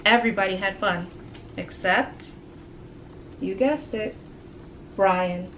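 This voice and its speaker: A woman reading a storybook aloud to children like a teacher, with dramatic pauses and questions to her listeners. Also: medium pitch, fairly bright tone, a little hiss or hum. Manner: dramatic, engaging pauses and asides.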